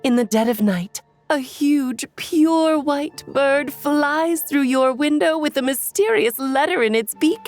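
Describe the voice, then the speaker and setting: A woman's voice: sits high, a little slow, faint music behind. A woman voicing a playful, whimsical sorceress with a theatrical, breezy delivery in a video game. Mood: dreamy, theatrical.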